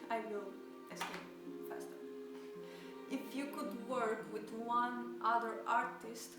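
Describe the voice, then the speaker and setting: A shy woman with a Romanian accent speaks slowly and carefully, with nervous little laughs. Her fairly high voice sounds far from the mic in a very echoey room, with loud music behind her. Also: steady tone. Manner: shy, slow, nervous laughter between phrases. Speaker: co-host asking questions. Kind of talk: shy casual talk to camera. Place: at home.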